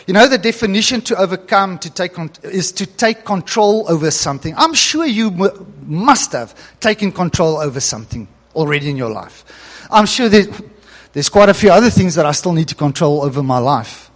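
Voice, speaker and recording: A man's voice: high-pitched, sing-song, normal speed, hardly any pauses. A male pastor whose first language is Afrikaans, telling a story in an earnest sermon that builds to emphatic preaching.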